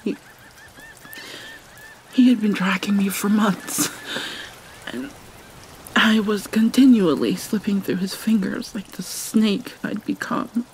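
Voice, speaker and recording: A woman performs a haunted mermaid cursed with vampirism in an ASMR roleplay. She speaks very slowly and mournfully, with long pauses, her soft breathy voice often sinking to a whisper. Steady rain runs behind her. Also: mid-range voice, a little hiss or hum, low-quality recording. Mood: grieving, guilty. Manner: halting, mournful, dramatic pauses. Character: vampire mermaid, sorrowful young-looking princess, centuries old, haunted. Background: rain ambience.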